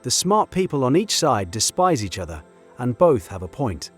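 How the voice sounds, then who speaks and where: A man delivering scripted video-essay narration in measured, rhetorical short phrases. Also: mid-range voice, sing-song. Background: background music.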